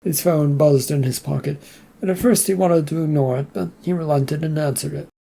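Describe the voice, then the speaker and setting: Gravelly, husky story narrator. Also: mid-range voice, moderate pace, mellow. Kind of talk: reading fiction aloud.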